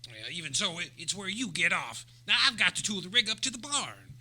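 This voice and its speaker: An actor playing a blunt, folksy wagon driver with an American Western accent in an old-time radio Western. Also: male voice, sits high, big pitch swings, a little fast, pushed and bright, heavily acted. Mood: brusque.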